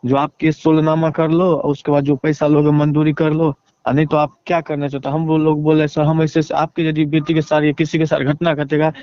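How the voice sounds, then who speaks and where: A man with a Bihari accent giving a halting, deferential account in a phone interview, leaning on repeated filler phrases. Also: fairly high pitch, monotone, mellow, clear, resonant tone, no echo. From Bihar, India. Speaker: interviewee, relative seeking justice. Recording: dull lo-fi phone call.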